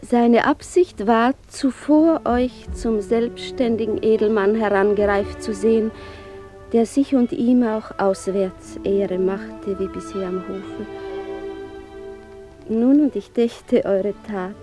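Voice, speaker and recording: An actress playing a gracious, composed princess in a radio drama, speaking in a measured, formal courtly way. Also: female voice, medium pitch, steady tone, music in the background, natural voice. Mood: gracious, encouraging. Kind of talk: mid-century German literary radio drama acting.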